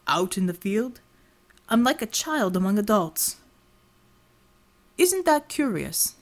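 A woman voicing a strong, calm male field chief in an audiobook, with a measured, dry and understated way of talking. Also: mid-range voice. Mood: wry, modest.

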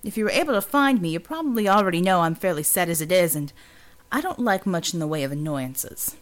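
A woman voicing a gruff, sardonic male loner in an audiobook, terse and folksy, guarded with strangers. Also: fairly low pitch, animated, a little fast, lightly acted. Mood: sour, suspicious. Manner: terse, drawling, folksy, dropped words.

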